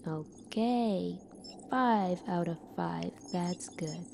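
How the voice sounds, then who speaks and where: Slow, soft-spoken woman doing ASMR roleplay. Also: on the low side, creaky, slight background noise. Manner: measured, deliberate, clinical. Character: calm, formal female military doctor in a sci-fi future.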